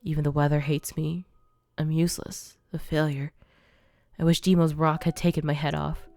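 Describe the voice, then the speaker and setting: A woman voicing a hurt, despairing young outcast girl who talks quietly and pities herself. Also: sits low, flat delivery, moderate pace, creaky, no echo, studio quality. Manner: self-pitying, quiet.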